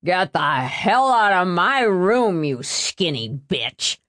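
Woman doing a slow, mumbling drunken father. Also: low voice, expressive, no echo, studio quality, heavily acted. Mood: belligerent. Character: drunken, whining, vile-tempered father, helpless and pitiful.

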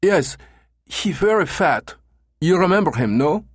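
A man voicing an eager, clumsy German tourist in halting broken English with a thick German accent. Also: sits high, sing-song, breathy edge, no room sound, clean studio sound, pitched above the performer's own voice, full character voice.

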